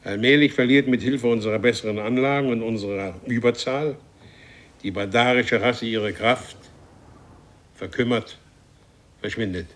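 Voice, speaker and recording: A man's voice: medium pitch, moderate pace, pauses often, natural voice. Actor as an imperious, grandiose warrior man. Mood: commanding, grandiose. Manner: commanding, grandiose, solemnly threatening. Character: imperious, menacing male warrior from a future race. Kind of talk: German radio play acting.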